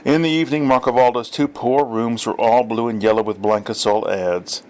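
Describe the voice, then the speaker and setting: A male audiobook reader with a medium-pitched voice. He delivers scripted story narration, reading literary prose aloud. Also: fairly even intonation, normal speed, darkish tone, clear, resonant tone.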